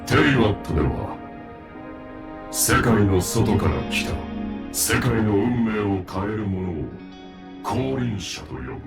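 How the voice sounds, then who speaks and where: Gravelly, imperious low male villain. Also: animated, normal speed, long pauses. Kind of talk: dramatic Japanese anime game trailer acting. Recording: echoing, distant, guitar music behind.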